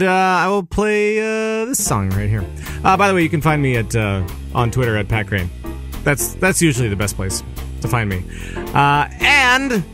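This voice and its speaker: A man hosting a casual gaming podcast, upbeat and rambling, with plenty of filler words. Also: on the high side. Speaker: podcast host.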